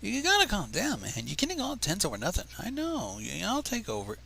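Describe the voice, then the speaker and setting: A man voicing an upbeat, teasing young male friend in a story, bossy, jokey and energetic. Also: high voice, fairly quick, gravelly, slight background noise, pitched above the performer's own voice, heavily acted.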